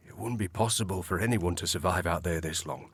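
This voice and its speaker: An actor playing a halting, respectful, sombre manservant with a husky voice in a period audio drama. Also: male voice, deep voice, a bit bright, slight background noise. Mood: sombre, apologetic. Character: apologetic, deferential manservant of a great house.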